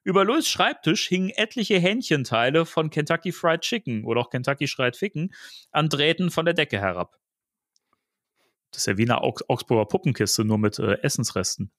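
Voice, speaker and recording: A man's voice: a little high, big pitch swings, normal speed, fairly bright tone, no echo, clean studio sound. A man with a German accent, co-hosting a podcast, reading a story playfully with dramatic emphasis and joking asides. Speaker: podcast co-host reading a film novel aloud.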